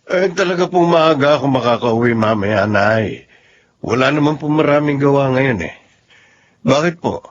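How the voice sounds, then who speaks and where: A Filipino man playing a gentle, honest family man in a radio-style drama. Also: mid-range voice, lively intonation, moderate pace, natural voice. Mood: respectful, gentle. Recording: dull lo-fi audio with music behind.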